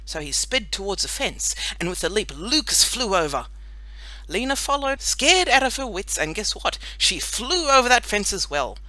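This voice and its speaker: A woman narrating a children's audiobook in an expressive storytelling style. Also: mid-range voice, normal speed, no echo, rough recording.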